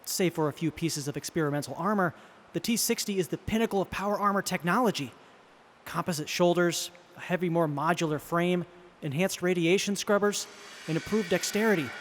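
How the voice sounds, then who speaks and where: A man playing an eager, earnest, nerdy soldier who loves technology in an audio drama, excitable and deferential. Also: high voice, fairly quick, lightly acted. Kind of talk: post-apocalyptic audio drama acting. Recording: long hall reverb, faint background noise.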